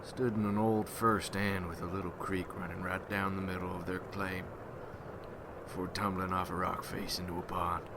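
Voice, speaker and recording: Man playing low-voiced, haunted old-time vampire hunter. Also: little pitch movement, background noise. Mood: wistful. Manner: slow, halting, somber folksy storytelling. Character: weary, haunted rural American Civil War veteran turned vampire hunter. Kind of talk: ASMR roleplay monologue.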